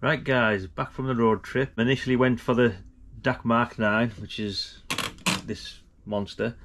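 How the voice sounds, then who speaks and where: A man's voice: mid-range voice, fairly even intonation, a little slow, noisy background. A middle-aged man, chatting casually and informally as he hosts a vlog. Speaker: vlog host.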